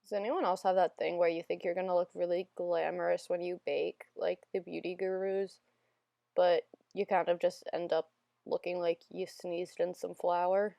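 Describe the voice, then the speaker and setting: A young woman narrating a makeup tutorial in a casual, self-deprecating, rambling voiceover, her voice still a little hoarse from being sick. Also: lowish voice, flat delivery, moderate pace, dark tone, no echo, clean studio sound. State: slightly hoarse, recovering from illness. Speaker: beauty vlogger.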